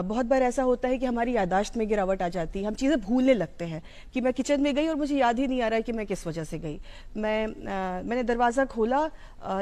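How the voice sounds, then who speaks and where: A woman's voice: mid-range voice, mellow. A woman with an Indian accent giving an informal, explanatory educational talk, mixing in English terms. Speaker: psychology educator.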